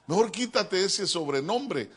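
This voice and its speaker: A man preaching a sermon as a pastor, animated and joking, often addressing his listeners as brother. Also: high voice, a little slow, slightly rough. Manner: animated, joking, keeps calling listeners "hermano". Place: church.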